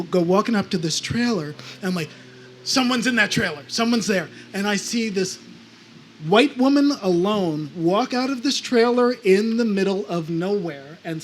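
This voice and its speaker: A man's voice: high voice, slight background noise. A man from the United States, a panelist author, telling a story animatedly on a live panel and acting out other people's voices.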